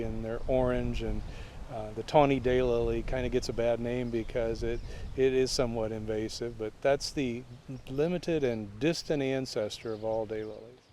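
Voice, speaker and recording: A man explaining things informally in an interview, as a flower grower, in a halting way. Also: medium pitch, darkish tone, a little hiss or hum.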